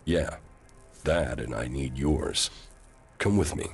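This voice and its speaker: An actor playing a stoic, terse ex-commander in a video game, with a gravelly, deliberate delivery. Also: male voice, very deep voice, faint music behind. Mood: stern, determined. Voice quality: gravelly, creaky. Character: stoic deep-voiced male ex-commander, deserter turned war fighter. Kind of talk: dramatic game dialogue.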